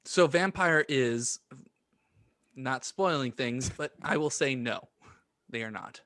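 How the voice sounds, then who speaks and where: A man's voice: medium pitch, slow pace, a bit bright, dry recording. A man co-hosting a comedy podcast in casual, bantering chat. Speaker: podcast host.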